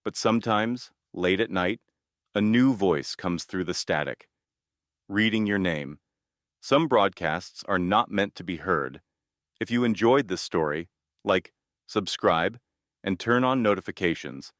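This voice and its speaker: Low-voiced man narrating horror, close and dry. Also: lively intonation, fairly bright tone, no echo. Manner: measured, ominous storytelling in short phrases. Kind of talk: scripted scary-story narration.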